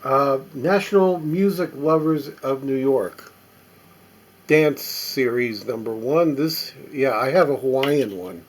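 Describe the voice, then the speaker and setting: A man casually talking to himself as he sorts a stack of old records, reading the labels aloud in a halting, musing way. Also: mid-range voice. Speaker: record collector. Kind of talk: casual running commentary while sorting records.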